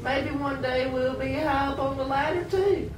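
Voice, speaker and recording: A woman's voice: high-pitched, normal speed, close to an everyday voice. A woman playing an encouraging friend with modest hopes in an amateur puppet skit. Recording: echoey room, distant mic, faint noise.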